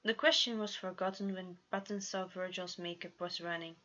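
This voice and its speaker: A woman's voice: on the low side, fairly even intonation, moderate pace, sharp tone, dull audio. A woman narrating fan fiction in an amateur storybook style, stumbling over words and correcting herself now and then.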